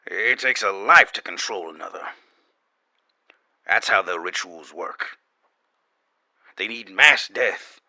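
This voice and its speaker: Man acting a sharp, animated, guarded security officer. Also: deep voice, dry recording, heavily acted. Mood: scornful, grim. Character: guarded, defensive corporate security officer giving secret testimony. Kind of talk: dramatic audio fiction testimony.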